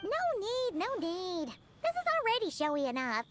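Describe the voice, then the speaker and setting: Childlike fairy companion, extremely high, animated, excitable, video game dialogue. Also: female voice, moderate pace, full character voice. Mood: chirpy. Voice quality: squeaky, childlike. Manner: refers to self in third person, exclaims. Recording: game music behind the voice.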